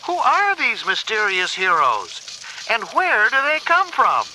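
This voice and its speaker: A man voicing a female TV news reporter in a children's audio story, with a brisk, dramatic newscast delivery. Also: high voice, wildly swooping pitch, slight background noise, pitched above the performer's own voice, full character voice, poor audio. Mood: dramatic, intrigued. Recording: loud music under the voice.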